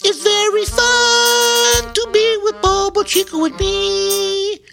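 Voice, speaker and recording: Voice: lively intonation, unhurried, music in the background, exaggerated voice. A frantic gray squirrel girl. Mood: singing. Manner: squeaky, shrill, very high. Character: excitable female gray squirrel, quick and cheerful.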